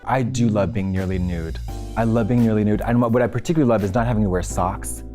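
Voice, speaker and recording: A man delivering an absurd comedic rant in a theatrical, drawn-out way. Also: on the low side, warm tone, faint music behind.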